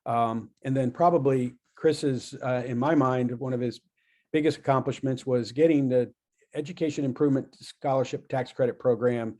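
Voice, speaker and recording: A man hosts an online coalition meeting in a mellow, relaxed voice. He introduces the guest casually and haltingly, with frequent ums and uhs, in a middle pitch. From Virginia.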